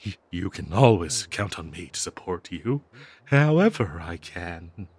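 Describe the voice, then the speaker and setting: A man voicing a possessive, bloodthirsty, lovesick talking cursed dagger in an audio roleplay, speaking to the listener in a theatrical, doting yet menacing manner with halting hesitations. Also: low voice, big pitch swings, a little mellow, slightly rough, no echo, exaggerated voice. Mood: pitying, devoted.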